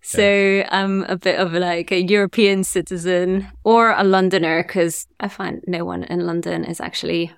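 A woman who grew up in Germany, a guest in a casual interview, relaxed and laughing easily as she talks. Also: lowish voice, moderate pace, few pauses, clear, resonant tone, dry recording, studio quality. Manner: relaxed, laughs often, uses fillers like "like". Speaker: agency cofounder, guest.

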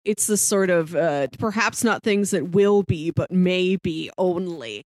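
A woman's voice: fairly low pitch, animated, slow, a little mellow, no room sound, clean studio sound. A woman co-hosting a casual podcast discussion of a TV show, talking in an enthusiastic, appreciative way. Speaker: podcast co-host.

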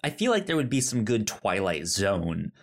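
A podcast co-host chatting casually, speaking haltingly with frequent restarts. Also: low voice, big pitch swings, vocal fry. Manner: halting, many fillers and restarts. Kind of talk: casual chat.

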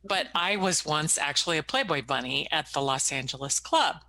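A woman's voice: low-pitched, animated, moderate pace, sharp tone. A woman hosting a podcast interview, chatty and open, sharing her own stories with her guest. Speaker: podcast host.

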